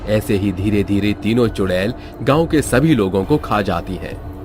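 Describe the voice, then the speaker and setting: Low-voiced male horror narrator. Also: normal speed. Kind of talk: scripted horror story narration. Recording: background music under narration.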